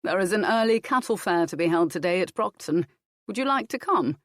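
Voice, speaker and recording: A woman voicing a friendly country gentleman with an easy, practical way of talking. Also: medium pitch, lively intonation, brisk, dry recording, studio quality, lightly acted.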